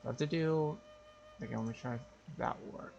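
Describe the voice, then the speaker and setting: A man livestreaming a game with casual, halting chat, often muttering to himself. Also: mid-range voice, creaky, a little mellow, dull audio, faint music behind. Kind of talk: casual gaming stream chat.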